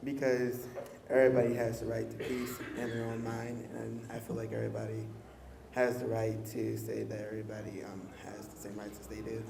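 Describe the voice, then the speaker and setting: A man from Ohio speaking from the audience, halting and casual. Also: fairly low pitch, monotone, creaky. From Ohio, USA. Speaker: audience member. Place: lecture hall with audience. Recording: echoey hall, crowd audible.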